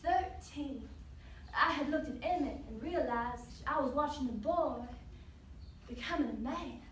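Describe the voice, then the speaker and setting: A woman's voice: sits high, unhurried. A woman performing a dramatic monologue as a devoted, grieving mother, telling her memories in a solemn, tender way. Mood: tender reminiscing. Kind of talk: competitive dramatic interpretation monologue. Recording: roomy, distant mic, faint noise.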